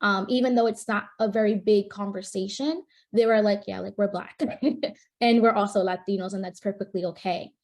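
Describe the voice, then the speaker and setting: A woman's voice: medium pitch, steady tone, normal speed, a little mellow. A young woman from New York, a guest on a panel, chatting in a friendly, casual way with many fillers. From New York, United States. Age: young adult.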